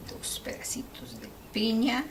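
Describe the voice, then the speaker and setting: A woman's voice: on the high side, sing-song, moderate pace, whispered, far from the mic. A woman from Mexico with a Mexican accent, a native Spanish speaker, hosting an informal home recipe demonstration. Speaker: home cooking video host. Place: kitchen.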